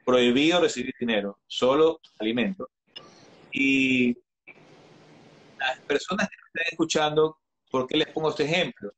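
A man's voice: mid-range voice, moderate pace, pauses often, fairly bright tone, poor audio. A man in his thirties talking earnestly as a guest in an online interview, advising listeners with frequent restarts and hesitations, heard through a video call. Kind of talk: earnest live-stream conversation. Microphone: video call webcam.

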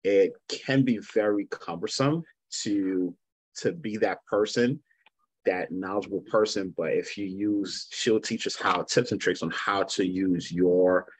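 A man hosts a monthly online meetup and introduces the guest speaker. His voice is very deep and husky, and he often stops to restart a phrase. The webcam sound is dry and close. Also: measured pace, no echo, low-quality recording. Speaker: meetup host. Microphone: video call webcam.

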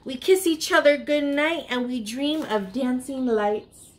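An American woman in her thirties hosts a storytime for young children. Her voice sits a little high, and she reads aloud expressively, speaking directly to her young listeners. She is recorded indoors in a small room. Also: unhurried, poor audio. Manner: addresses young listeners, expressive picture-book reading. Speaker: children's storytime host at a public library.

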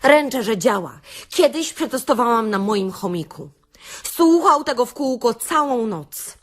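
Brash actress as a sardonic beautician, very animated. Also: female voice, a little high, a little slow. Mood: wry, scheming. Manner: theatrical, sardonic, addresses listeners with rhetorical questions. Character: brash, sarcastic beautician delivering a comic self-help rant. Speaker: actress reading a comic monologue. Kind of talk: satirical radio-play monologue, stand-up-like lecture.

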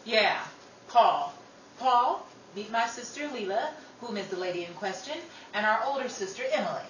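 A woman's voice: medium pitch, expressive, normal speed, a bit bright, natural voice. A woman playing a hot-tempered, protective older sister in a 1930s radio drama, brash, scrappy and slangy. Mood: brisk, bossy. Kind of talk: old-time radio drama acting. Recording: roomy, distant mic, faint noise.

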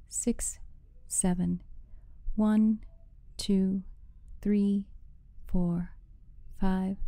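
A woman leading a guided meditation as the guide, giving soothing, measured instructions with phrases that soften into breathiness. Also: mid-range voice, no echo.

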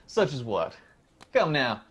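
An actor playing a teasing, sceptical young electrician son in a radio drama, breezy and colloquial. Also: male voice, mid-range voice, sing-song, slow, a bit bright, husky, full character voice.

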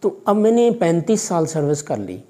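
A middle-aged Pakistani college vice principal with a Pakistani Urdu accent, answering interview questions in a measured, fatherly way with religious phrasing and hesitant fillers, recorded in an office by a distant camera. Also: fairly high pitch, sing-song, warm tone. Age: 50s. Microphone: far camera.

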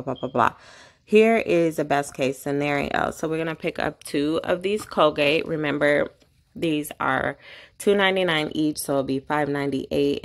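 A woman's voice: sits low, gravelly. A woman vlogging a couponing haul at home, chatting casually as she reads off prices, coupons and totals into a handheld phone camera. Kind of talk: casual shopping haul walkthrough.

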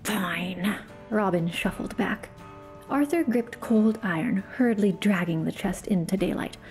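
A woman's voice: mid-range voice, measured pace, darkish tone, faint music behind, natural voice. A woman voicing a spoiled, greedy male dragon who whines and sulks over his gold. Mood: sly. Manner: petulant, whiny.

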